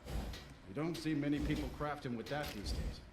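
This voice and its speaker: A man voicing a seasoned, approving smith in a fantasy video game, measured and appreciative. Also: fairly high pitch, lively intonation, natural voice. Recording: echoey room with clear background noise.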